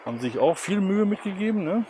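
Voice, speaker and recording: A man's voice: sits high, lively intonation, fast talker, mellow. A man in his fifties chatting casually to camera outdoors in short, jokey, colloquial remarks, recorded at arm's length. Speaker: travel vlogger. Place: outdoors in gardens and town streets. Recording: outdoor ambient noise.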